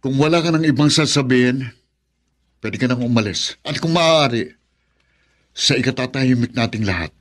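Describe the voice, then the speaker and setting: A man's voice: mid-range voice, normal speed, long pauses, close to an everyday voice. A man acting as a young Filipino son in a radio drama. He sounds wounded and proud, speaking in a curt, accusing way with a mid-range voice. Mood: cold, defiant.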